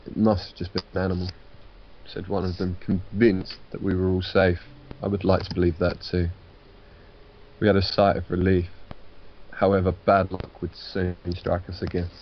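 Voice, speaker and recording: A man reading a visual novel's narration out loud in a casual way, now and then restarting a line. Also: low-pitched, completely flat delivery, moderate pace, dull audio, slight background noise. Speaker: guest co-reader on gaming video.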